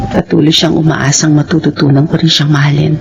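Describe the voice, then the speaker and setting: A woman's voice: sits low, little pitch movement, brisk, vocal fry, muffled recording, background noise. A woman playing a heartbroken young Filipina returnee in a radio drama, earnest and emotional, with a Filipino accent. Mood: reflective, wistful. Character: heartbroken young Filipina returning from overseas work, wary of love. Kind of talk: radio drama acting with first-person narration.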